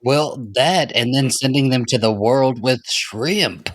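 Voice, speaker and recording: A man's voice: medium pitch, slow, dry recording. A man co-hosting a podcast with wry, joking, casual banter about a show. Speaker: podcast co-host.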